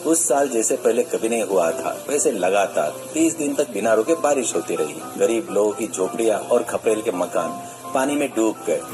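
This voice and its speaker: A man's voice: fairly low pitch, animated, normal speed. A man reading a scripted story as narrator. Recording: music bed under the voice. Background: music.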